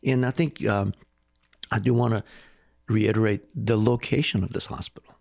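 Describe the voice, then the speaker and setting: A man's voice: fairly low pitch, fairly even intonation, moderate pace, creaky, a little mellow, no room sound, clean studio sound. A middle-aged man from Iran, a hospital president, telling his life story in an earnest, reflective interview. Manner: earnest, reflective, speaks with conviction.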